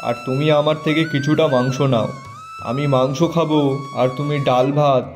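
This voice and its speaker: A man's voice: medium pitch, steady tone, moderate pace, soft background music, close to an everyday voice. A man playing a nosy, kindly younger farmhand in a cartoon, with an inquisitive, exclamatory way of talking. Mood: generous. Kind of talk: animated moral story dialogue.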